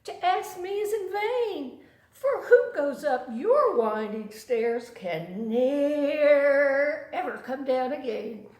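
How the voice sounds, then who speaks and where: A woman's voice: high-pitched, very animated, slow pace, darkish tone, echoey room, pitched above the performer's own voice, exaggerated voice. A woman voicing a small, polite female fly in a storybook poem, warily and dramatically refusing temptation. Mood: alarmed refusal. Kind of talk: storybook poem read aloud.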